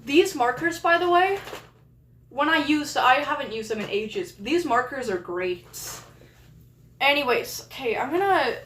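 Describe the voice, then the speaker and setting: A woman's voice: high voice, lively intonation, a little slow, fairly bright tone, roomy sound, a little hiss or hum. A young woman born in England with a Canadian accent, chatting casually to her stream chat while rearranging her desk setup. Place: small rented room at a desk.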